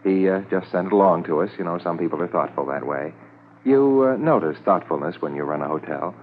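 Low-voiced, fussy hotel manager, tinny radio. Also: male voice, lively intonation, a little fast. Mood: obliging, chatty. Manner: hesitant, chatty, courteous. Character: fussy, obliging, talkative male hotel manager. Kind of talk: 1950s radio drama acting. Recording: tinny old radio with faint noise.

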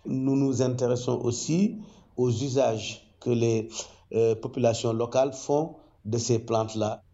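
A man who works as an ecology professor, explaining his research in a measured, careful way with frequent hesitations. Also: medium pitch, fairly even intonation, mellow, dull audio. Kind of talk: interview testimony.

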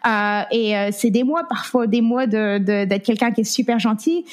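Woman, 30s, interview guest; candid, halting testimony, high-leaning voice, English words slip in. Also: steady tone. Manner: hesitant, many fillers, slips in English words. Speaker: guest sharing her story.

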